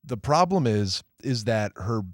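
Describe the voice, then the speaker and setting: A man co-hosting a movie review podcast with wry, theatrical jokes. His voice sits at a middle pitch. The mic sound is close and dry, with no room sound. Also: animated, unhurried, no echo. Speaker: podcast co-host. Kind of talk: casual movie review chat.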